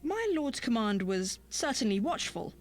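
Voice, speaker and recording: An actress playing a sardonic retainer to a lord in a fantasy game, formal and deadpan. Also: female voice, on the high side, unhurried, faint music behind. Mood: dryly mocking.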